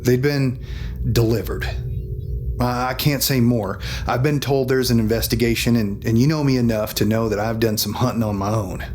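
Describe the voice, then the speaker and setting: An actor playing an older, haunted alien officer in a science fiction audio drama, speaking haltingly with sighs, music underneath. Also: male voice, mid-range voice, darkish tone, lightly acted. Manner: halting, sighing, confessional. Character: gruff, haunted grandfather alien cowboy officer with stone-plated skin.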